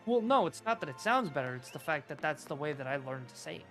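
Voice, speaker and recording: An American man hosting a game stream, chatting casually and enunciating deliberately, with a habit of saying "like" often. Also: a little high, sing-song, music in the background. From United States. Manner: deliberate enunciation, frequent "like" fillers. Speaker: streamer host. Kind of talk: casual chat while gaming.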